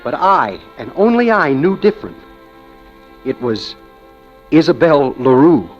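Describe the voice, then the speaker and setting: A man's voice: a little high, sing-song, measured pace. An actor with an American accent playing a smitten, obsessive bank clerk who narrates his own story in a 1940s radio drama. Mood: brooding, confiding. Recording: muffled old radio recording with music.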